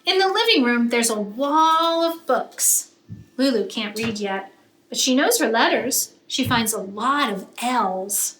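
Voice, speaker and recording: A woman with a high, bright voice narrates a children's picture book. She reads expressively, leaning on key words as a teacher would. The room is echoey, with faint noise. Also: animated, slow. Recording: roomy echo, faint background noise.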